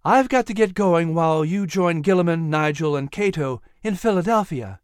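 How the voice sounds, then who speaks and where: A man's voice: on the high side, animated, moderate pace, clear, resonant tone, no echo, studio quality, natural voice. A man voicing a grave, determined young woman disguised as a militia soldier. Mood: resolute. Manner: earnest, solemn.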